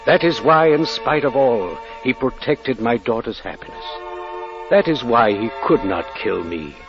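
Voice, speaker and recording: An actor playing a solemn, forgiving father giving a funeral eulogy in a radio drama, with measured, grave, preacherly oratory. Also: medium pitch. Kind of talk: 1940s radio drama acting. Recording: muffled vintage radio recording with music.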